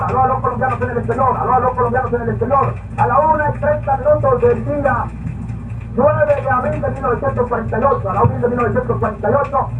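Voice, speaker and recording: A man's voice: very high-pitched, distant mic. A man doing a frantic 1940s radio announcer. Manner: urgent, repetitive calling out. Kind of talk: urgent radio news bulletin. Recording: tinny old radio broadcast, noisy, echoey.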